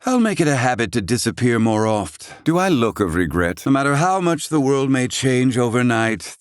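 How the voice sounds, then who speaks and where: A man's voice: mid-range voice, no room sound, clean studio sound. An actor playing a proud, sardonic old male scholar and healer in a video game, speaking in formal, archaic phrasing. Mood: wry, relaxed. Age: older. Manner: haughty, formal archaic diction.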